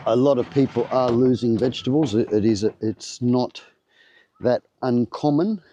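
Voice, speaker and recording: A man's voice: medium pitch, moderate pace, dark tone, slight background noise. An older Australian man chatting casually to his vlog audience. He rambles along with lots of fillers and restarts, and his voice still sounds slightly off from the flu. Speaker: vlogger. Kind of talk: casual chat to camera. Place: at his home by a greenhouse.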